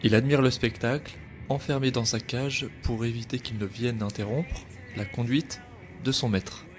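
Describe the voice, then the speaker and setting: A man's voice: medium pitch, fairly even intonation, normal speed, over music. A man narrating a podcast story in a wry, storytelling manner.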